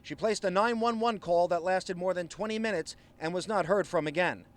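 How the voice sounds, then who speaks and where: A man's voice: sits high, brisk. A man reporting broadcast news as a television reporter, with an emphatic, punchy delivery. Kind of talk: broadcast news reporting, live stand-ups and scripted packages.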